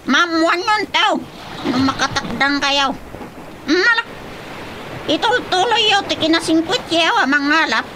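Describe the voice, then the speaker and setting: A woman playing a solemn guardian whale in a radio drama, speaking in a drawn-out, admonishing way with an Ilocano accent. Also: very high-pitched, animated, noisy background. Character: talking female whale, solemn guardian of the sea. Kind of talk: radio comedy-drama acting.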